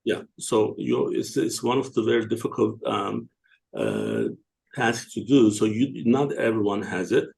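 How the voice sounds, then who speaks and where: A man hosts the conversation in a low, gravelly voice. He asks his questions hesitantly, pausing often and filling the gaps with small sounds. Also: big pitch swings, darkish tone, rough recording. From Turkey. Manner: hesitant, many fillers, halting. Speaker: host. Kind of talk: interview questions.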